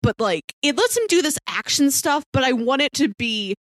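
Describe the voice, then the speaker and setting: A woman's voice: high-pitched, expressive, normal speed, dry recording, studio quality. A woman co-hosting a podcast in casual chat, talking with enthusiasm and strong opinions about what she watches. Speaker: podcast co-host discussing a TV series.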